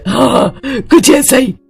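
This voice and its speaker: Horror-story witch, acted by a man, husky, creaky, menacing, gloating, mocking, cackling. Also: very high voice, exaggerated voice. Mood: menacing glee. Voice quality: raspy, cackling. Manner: mocking, drawn-out taunting. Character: gloating, menacing witch who taunts her victim. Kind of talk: Hindi horror story character acting.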